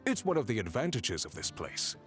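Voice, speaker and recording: Fawning male desk clerk in a game, smooth, obsequious, wildly swinging pitch. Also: wildly swooping pitch, brisk, soft background music. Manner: fawning and smooth, flustered when pressed.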